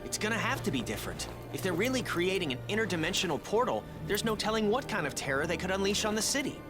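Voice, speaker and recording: An actor playing a brainy, earnest teenage mutant turtle inventor in a video game, explaining things in a nerdy technical way. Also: male voice, high-pitched, sing-song, fast, music in the background.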